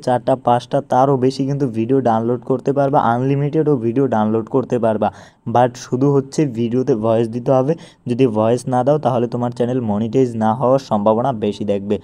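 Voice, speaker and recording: A man's voice: mid-range voice, mellow. A man with a Bangladeshi accent giving an informal, instructional step-by-step screen walkthrough, repeating each step as he goes. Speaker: tutorial presenter.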